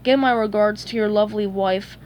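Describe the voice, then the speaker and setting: A woman's voice: medium pitch, steady tone, fairly quick, darkish tone, slight background noise, lightly acted. A woman voicing a glum, defeated old male crab boss with a weary, resigned manner. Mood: sorrowful.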